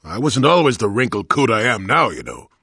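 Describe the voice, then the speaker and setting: A man playing a crotchety, wry old hermit in a video game, with a gravelly, husky voice and a sardonic storyteller's manner. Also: lowish voice, wildly swooping pitch, fairly quick, pushed and bright, dry recording, studio quality, full character voice. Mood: testy, wry. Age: older. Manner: cantankerous, sardonic, rambling storyteller. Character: crotchety old male hermit, former Jedi, wry and weary. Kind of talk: video game character acting.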